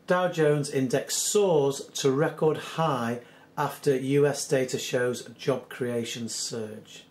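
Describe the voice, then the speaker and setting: A hoarse British man hosting a solo commentary, reading out news articles in an earnest, skeptical, measured way. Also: medium pitch, darkish tone. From United Kingdom. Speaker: channel host.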